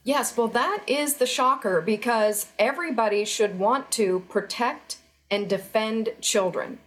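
A woman's voice: a little high, a little slow. A middle-aged woman speaking as an interview guest, earnest and urgent, pressing her points with emphasis. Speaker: interview guest, former federal employee. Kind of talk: remote news interview.

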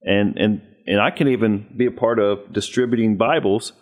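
Low-voiced hesitant male co-host. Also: moderate pace. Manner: hesitant, many fillers, thoughtful.

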